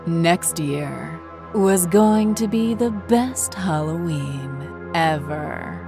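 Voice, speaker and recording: A woman's voice: on the low side, lively intonation, extremely slow. A woman reading a scripted horror story as its narrator, with music behind her. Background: faint music.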